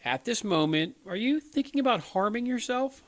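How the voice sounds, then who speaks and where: An actor playing an earnest, inexperienced young crisis counselor in a radio play, hesitant and pleading. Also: male voice, very high voice, animated, moderate pace. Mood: careful, concerned. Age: 20s.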